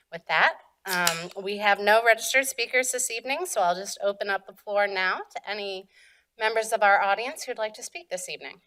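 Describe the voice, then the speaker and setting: A woman's voice: mid-range voice, pushed and bright. A woman chairing a township board meeting, reading procedure politely and steering speakers with courteous apologies into a desk microphone.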